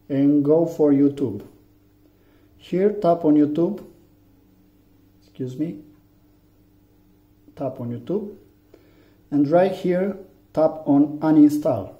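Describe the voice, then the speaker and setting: A man from Romania with a Romanian accent, English not his first language, giving a halting phone tutorial with many fillers. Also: a little high. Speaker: tech tutorial maker. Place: room indoors, phone on a table. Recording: echoey, dull lo-fi room.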